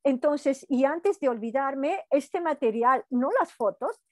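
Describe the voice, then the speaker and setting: A woman's voice: sits high, animated, slow, darkish tone, dry recording, clean studio sound. A woman from Peru giving an online university lecture in a conversational way, often adding "¿no?" to check in with students. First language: Quechua heritage, lives in Brazil. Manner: conversational, frequent "¿no?" tag questions. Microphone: video call.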